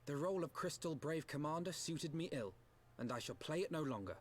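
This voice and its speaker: A man's voice: fairly high pitch, lively intonation, a little fast. A man acting an earnest, idealistic young commander in a fantasy game, with solemn and eloquent archaic diction. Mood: regretful, resolute.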